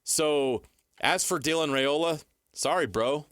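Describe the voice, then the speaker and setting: A man's voice: fairly high pitch, slow pace, a bit bright, no room sound, studio quality. An American man co-hosting a sports talk show, giving opinionated, blunt commentary in an informal, conversational way.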